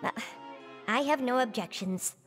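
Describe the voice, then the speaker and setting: A woman's voice: on the high side, a little slow, lightly acted. A woman playing a dutiful, easily flustered female court assistant in a video game, formal and polite in manner. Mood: reluctant. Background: game music.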